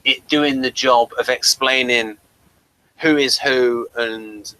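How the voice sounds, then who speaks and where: A man hosting a casual fan review chat, talking in an animated way and often restarting his phrases. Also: mid-range voice, takes it slowly, clean tone, low-quality recording. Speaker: host of a fan review channel.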